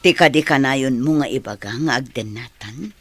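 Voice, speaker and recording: A woman with an Ilocano Filipino accent playing a serious, hesitant woman in a radio drama, with a husky voice. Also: rumbling low, moderate pace, soft background music. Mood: hesitant, earnest.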